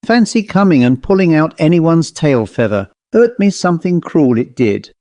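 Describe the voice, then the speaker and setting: A man's voice: on the high side, sing-song, normal speed, dark tone, no room sound, voice pushed up. A man voicing a grumpy, indignant, self-important owl in a story, complaining in rustic, ungrammatical speech. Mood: indignant, bitter. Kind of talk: storybook character voice.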